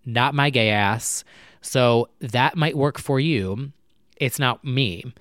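Soft-spoken young man, rambling chat. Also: slow pace, no echo, studio quality. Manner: chatty, rambling, lots of "like" fillers; talking low and quietly to keep neighbors from hearing. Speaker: vlogger. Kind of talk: casual personal chat to camera. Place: apartment at night.

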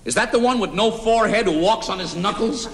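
An actor playing a cranky, scheming, loudmouthed husband in an old-time radio comedy, blustering and sarcastic. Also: male voice, high-pitched, brisk, roomy sound. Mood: grumpy, blustering. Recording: noisy old radio recording, loud studio audience.

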